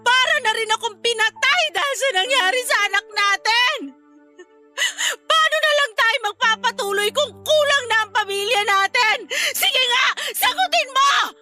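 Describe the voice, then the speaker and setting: Radio drama role: actress as grieving Filipino mother, very high piercing voice, tearful, accusing, melodramatic. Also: normal speed, pushed and bright, faint music behind, full character voice. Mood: sobbing, anguished. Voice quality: shrill, tearful. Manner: wailing, melodramatic outbursts. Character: anguished, accusing grieving mother, wife of Danilo.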